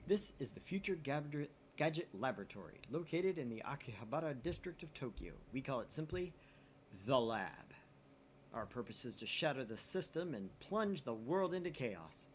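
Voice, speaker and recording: A man puts on a grandiose mad scientist from a visual novel. He is paranoid and pompous, with theatrical, wide swings of pitch, recorded over faint noise. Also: sing-song, moderate pace, natural voice. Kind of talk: visual novel dialogue read aloud.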